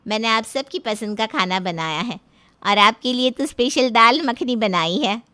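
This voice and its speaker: A woman's voice: fairly high pitch, normal speed, bright, forceful tone. A woman voicing a middle-aged mother in a cartoon story, a flustered and scolding hostess. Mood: flustered, placating.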